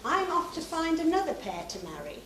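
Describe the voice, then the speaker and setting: A woman's voice: very high voice, sing-song, brisk, fairly bright tone, heavily acted. A British woman playing a breezy fairy godmother in rhyming stage pantomime. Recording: distant echoey stage, very noisy tape.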